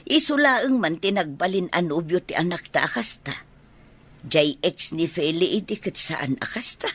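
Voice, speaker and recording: An actress playing an inquisitive, caring middle-aged mother in a radio drama, with an Ilocano accent and a husky voice. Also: on the low side, lively intonation, normal speed, fairly bright tone.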